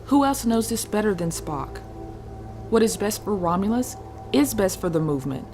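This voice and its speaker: A woman playing a thoughtful, questioning Romulan woman, speaking in a measured, deliberate way in a sci-fi audio drama. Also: mid-range voice, sing-song, music in the background. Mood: earnest, reasoning.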